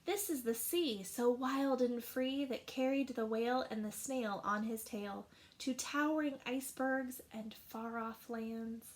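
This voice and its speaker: Woman teacher, storybook read-aloud, high-ish voice, slow deliberate pace. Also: slight background noise. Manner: slow, expressive rhyming narration. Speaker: teacher reading a picture book aloud.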